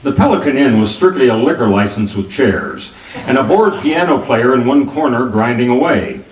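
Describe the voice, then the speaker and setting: In a roomy recording set back from the mic, a man acts out a hard-boiled private detective from radio noir. His pitch moves freely through wry, clipped lines and narration. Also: sing-song, normal speed, a little hiss or hum, natural voice. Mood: wry, narrating. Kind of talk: 1940s radio noir acting with scripted narration. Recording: roomy, distant microphone, music behind.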